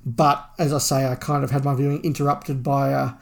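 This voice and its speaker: Podcast co-host, male, noticeable vocal fry, measured and conversational, informal fan talk. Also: medium pitch, little pitch movement. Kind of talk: casual fan podcast chat, reading listener email.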